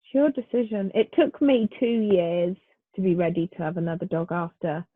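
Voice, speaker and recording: A woman's voice: lowish voice, animated, moderate pace, dry recording. A woman with a Northern English accent co-hosting a casual chat over a video call, weary and congested, giving short replies. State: tired, congested. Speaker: co-host. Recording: dry tinny phone-like line.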